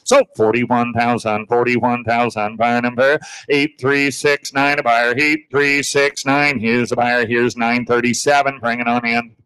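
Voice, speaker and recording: Guy auctioneer chanting bids super fast, sing-song, dry close sound. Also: medium pitch, level tone, a bit bright, smooth, no echo, rough recording. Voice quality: rhythmic, sing-song bid calling. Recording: screen-recorded live auction stream.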